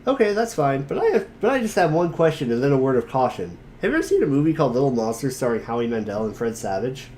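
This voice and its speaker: A man's voice: mid-range voice, very animated, fast, a little mellow, slight background noise, lightly acted. A man reading a smug, sarcastic webcomic boy in a casual, teasing way for an amateur dramatic reading. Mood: mocking.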